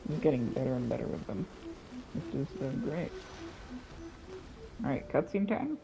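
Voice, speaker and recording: A man's voice: mid-range voice, big pitch swings, normal speed, gravelly, rough recording. A man giving casual, off-the-cuff live commentary as he streams a video game. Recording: game music behind the voice. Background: video game music.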